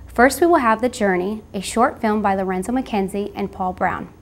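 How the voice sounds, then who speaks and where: A woman's voice: medium pitch, moderate pace, slight background noise. Woman hosting a campus TV show. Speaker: program host. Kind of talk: scripted show introduction.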